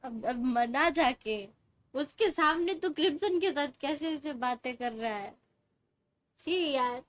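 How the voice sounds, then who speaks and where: A woman's voice: sits high, very fast, a bit bright, no echo. A young woman with an Indian accent giving casual, slangy live game commentary, mixing Hindi and English. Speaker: game livestreamer.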